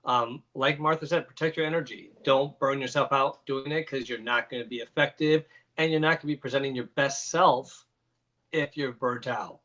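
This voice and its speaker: A man's voice: mid-range voice, steady tone, normal speed, bright, forceful tone, dull audio. A man co-hosting a video chat, giving earnest, frank advice. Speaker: co-host.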